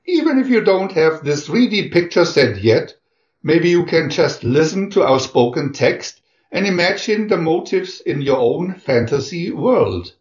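A man presents in a scripted announcer style, speaking very slowly with lively intonation. The recording is echoey and a bit dull. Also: fairly high pitch. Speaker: presenter announcing reel and picture numbers.

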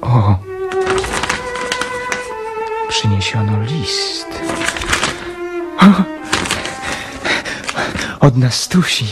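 Actor playing a slow, tender lovesick young dreamer. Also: male voice, mid-range voice, natural voice. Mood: subdued. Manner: hushed, emotional, slow delivery. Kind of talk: radio drama acting. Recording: radio drama with string music underneath.

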